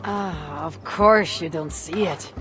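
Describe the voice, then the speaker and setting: A woman's voice: low-pitched, takes it slowly, fairly bright tone, soft background music. An actress playing a proud, blunt Viking woman warrior with a Scandinavian accent in a video game cutscene. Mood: scornful, dry. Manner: terse, blunt, dryly scornful.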